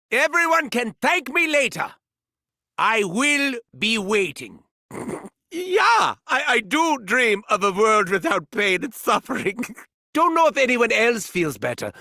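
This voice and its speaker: An actor playing a gleeful, sadistic mad scientist villain in a video game, theatrical and taunting, with a German accent. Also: male voice, high-pitched, vocal fry, sharp tone, dry recording, studio quality, full character voice. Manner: theatrical, swooping from gleeful shrieks to sinister murmurs. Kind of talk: video game character voice lines.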